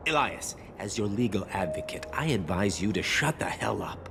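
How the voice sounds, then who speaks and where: A man's voice: lowish voice, expressive, normal speed, vocal fry, background noise. A man playing a curt, officious, exasperated lawyer in a video game. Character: brusque, exasperated male lawyer.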